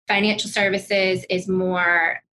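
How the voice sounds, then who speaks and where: A woman's voice: medium pitch, flat delivery, slow, sharp tone, a little hiss or hum. A woman speaking as an interview guest, telling personal stories conversationally with frequent "you know" asides. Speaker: podcast guest, financial services executive.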